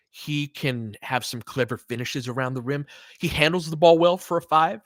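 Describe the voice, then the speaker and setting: A man's voice: mid-range voice, a bit bright, dry recording. A man giving casual, conversational basketball play-by-play and analysis, with short punchy calls and slangy language.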